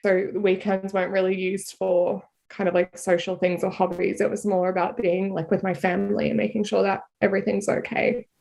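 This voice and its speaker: A woman's voice: medium pitch, level tone, a little fast, vocal fry, few pauses, darkish tone. An Australian woman talking casually as a podcast guest over a video call, full of "like" fillers and easy laughter. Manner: chatty, frequent "like" fillers, laughs easily. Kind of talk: casual conversational interview.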